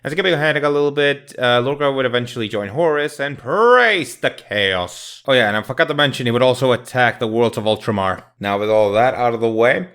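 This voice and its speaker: A man's voice: mid-range voice, animated, brisk, few pauses, fairly bright tone, smooth. A man hosting a review channel, giving casual scripted commentary in a jokey, irreverent, slangy manner. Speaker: host of an audio drama review channel.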